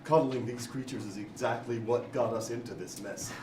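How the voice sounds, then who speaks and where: An actor playing a mocking, hawkish werewolf politician in a staged sci-fi reading. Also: male voice, mid-range voice, steady tone, moderate pace, darkish tone, close to an everyday voice. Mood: scornful. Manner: mocking, belligerent. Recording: distant, echoey live room with faint noise.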